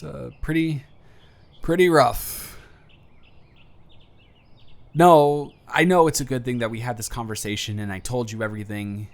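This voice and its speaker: A man's voice: fairly high pitch, lightly acted. A man playing an earnest, anxious young man confessing love to a close friend in a hesitant, vulnerable way, in an intimate audio roleplay. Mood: embarrassed, relieved. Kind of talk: emotional roleplay audio acting, intimate monologue to the listener.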